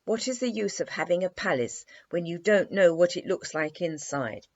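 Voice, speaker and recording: Woman voicing a scornful little storybook girl, swooping pitch. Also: lively intonation, normal speed, dry recording. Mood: critical. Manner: bossy, teasing, exclamatory. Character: haughty, scornful little girl, a minister's daughter. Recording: dry, slightly dull lo-fi recording.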